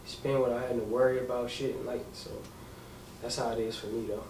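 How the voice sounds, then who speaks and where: A man's voice: mid-range voice, little pitch movement, fairly quick, a little mellow. A man in his twenties in a casual group chat, talking in a blunt, frank way. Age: 20s. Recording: roomy, distant mic, faint noise.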